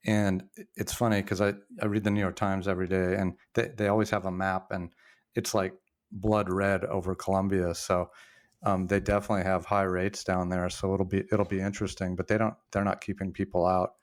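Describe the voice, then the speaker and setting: A man in his sixties, a former journalist being interviewed, telling stories in a reflective, halting way with frequent restarts. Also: deep voice, flat delivery. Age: 60s. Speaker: podcast guest, former journalist. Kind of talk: casual storytelling interview.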